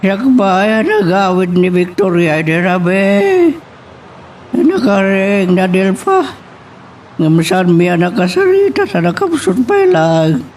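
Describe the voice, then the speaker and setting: A man's voice: high voice, very animated, slow, darkish tone, recorded from a distance, full character voice. A man playing a worried old village father and grandfather in an Ilocano audio drama, plaintive and given to exclaiming. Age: older. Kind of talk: Ilocano radio-style drama acting.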